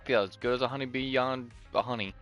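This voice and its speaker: Casual mid-pitched male game streamer. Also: steady tone, fast, a bit bright, highs cut off, soft background music. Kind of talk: casual gameplay commentary and reading aloud.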